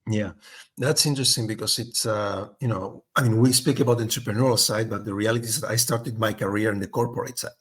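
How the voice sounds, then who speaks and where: A man's voice: on the low side, fairly even intonation, fast. A middle-aged man from Spain, talking as a guest in an interview, thoughtful and full of fillers and restarts. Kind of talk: casual interview chat.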